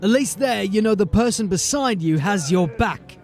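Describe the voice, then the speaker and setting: An actor playing a wary, blunt city watch officer with a dry, guarded manner in a fantasy audio drama. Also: male voice, sits high, animated, moderate pace, darkish tone, faint music behind. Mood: sardonic.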